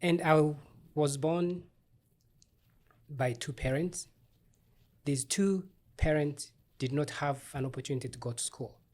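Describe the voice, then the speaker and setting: A middle-aged man from Uganda, an obstetrician-gynecologist, telling his life story in an interview in a thoughtful, measured way with small restarts. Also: fairly high pitch, a little mellow.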